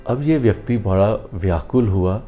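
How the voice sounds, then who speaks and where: A man with an Indian accent telling an inspirational moral story in an unhurried, explanatory, conversational way. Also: fairly low pitch, warm tone, soft background music.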